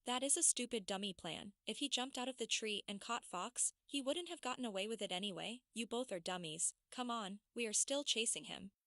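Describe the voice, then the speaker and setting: Man putting on a scolding high-pitched young werewolf girl. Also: a little fast, few pauses, dry recording, clean studio sound. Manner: hushed, indignant, scolding. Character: scolding, exasperated young female werewolf trainee.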